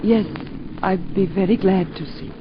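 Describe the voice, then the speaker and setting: A woman's voice: mid-range voice, steady tone, normal speed, close to an everyday voice. A woman playing a refined wife. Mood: gentle. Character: English middle-class wife and mother. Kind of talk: 1940s radio acting. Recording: muffled 1940s radio broadcast with hiss.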